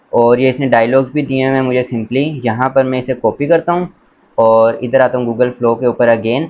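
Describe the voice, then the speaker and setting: A man presenting a casual step-by-step screen tutorial in an instructional, enthusiastic way, mixing in English words. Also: mid-range voice. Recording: dull lo-fi sound, faint background noise.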